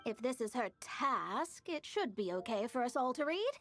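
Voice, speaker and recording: A proud young female student from an anime game. Her voice is high and swings widely as she talks with haughty, sarcastic drama. Music plays behind her. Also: big pitch swings, normal speed, a bit bright. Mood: curious.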